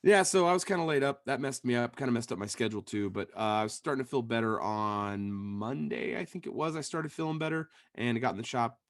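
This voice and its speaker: A man co-hosting a podcast in casual chat, hoarse and losing his voice, calling in remotely and rambling with restarts. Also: medium pitch, no echo, clean studio sound. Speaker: podcast co-host. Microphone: remote call connection.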